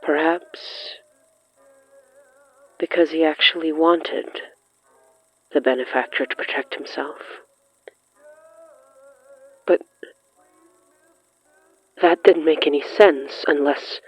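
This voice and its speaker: A narrator reading scripted horror fiction in the third person, brooding and dramatic, with lingering pauses. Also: mid-range voice, steady tone, breathy edge. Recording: muffled lo-fi sound with music underneath. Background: music.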